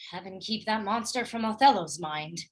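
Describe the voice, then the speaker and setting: A woman playing an earnest, distressed young noblewoman and loyal wife in a Shakespearean radio play, with a husky voice. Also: medium pitch, normal speed, lightly acted. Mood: anxious, gentle.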